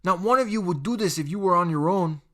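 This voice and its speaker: Brief: male reader doing defiant teen boy, firm, raised pitch. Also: animated, fast, a bit bright. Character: proud defiant teenage boy.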